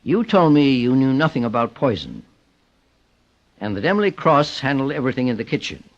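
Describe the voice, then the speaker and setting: Shrewd radio detective, sweeping intonation. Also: male voice, medium pitch, very animated. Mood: firm, accusing. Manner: deliberate, formal, accusatory. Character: shrewd, calm, relentless male private detective. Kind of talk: old-time radio drama acting. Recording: muffled vintage radio recording.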